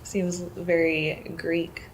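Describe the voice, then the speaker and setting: A woman interviewing someone casually, asking curious questions with brief reactions and hesitant pauses. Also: fairly low pitch, level tone, slight background noise, low-quality recording.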